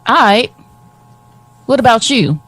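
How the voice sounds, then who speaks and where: A woman's voice: on the high side, animated, takes it slowly, a bit bright, dry recording, exaggerated voice. A woman acting in an audio drama as a brash, teasing young friend who talks in blunt slang. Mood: sassy, mocking.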